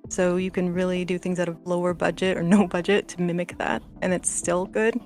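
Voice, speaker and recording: Female podcast panelist, casual conversational delivery, noticeable vocal fry, slightly low register. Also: little pitch movement, brisk. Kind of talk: casual chat. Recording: faint music underneath. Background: soft background music.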